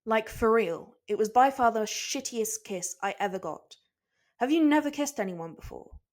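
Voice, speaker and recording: A woman's voice: a little high, normal speed, natural voice. Proud young male cook, performed by a woman: theatrical, mocking, easily indignant, sure of his own charm. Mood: drunken mocking. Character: proud, dramatic male cook, smug romantic.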